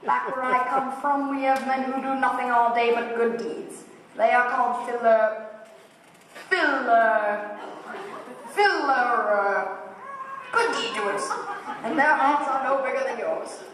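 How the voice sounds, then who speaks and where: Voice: mid-range voice, a bit bright, recorded from a distance. Performer doing a kindly fraud wizard making speeches, stage play, really echoey with faint noise. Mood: mock-grand. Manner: speechifying, mock-grand, halting when flustered. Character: bumbling, kindly humbug wizard, an old showman. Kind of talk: theatrical school stage play acting.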